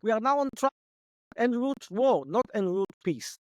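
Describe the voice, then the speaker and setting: Man, guest on a talk show, high voice, dry close sound, argues like a lecturer. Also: expressive, normal speed, no echo. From Israel. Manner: argumentative, lecturing, answers imagined objections. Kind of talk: analytical debate.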